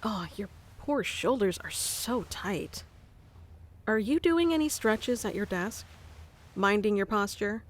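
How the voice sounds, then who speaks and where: A woman doing a soft-spoken ASMR roleplay, teasing and commanding yet doting, close to the mic. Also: mid-range voice, animated, slow pace. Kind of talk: ASMR roleplay, playing a bossy affectionate boss.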